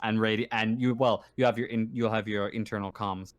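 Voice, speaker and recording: Low-voiced man running a tabletop game. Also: steady tone, a little fast. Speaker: game master. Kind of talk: casual narration of a tabletop game.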